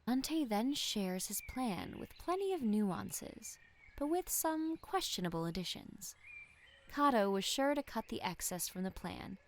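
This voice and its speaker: A woman's voice: a little high, very animated, a little slow, slight background noise. A woman reading scripted narration for a medieval fantasy audio drama. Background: faint music.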